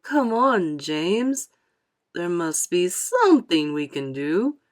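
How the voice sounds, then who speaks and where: A woman's voice: fairly low pitch, sing-song, slow. A woman voicing a brash, bluff male centipede with an exclamatory manner in a storybook read-aloud. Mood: urgent.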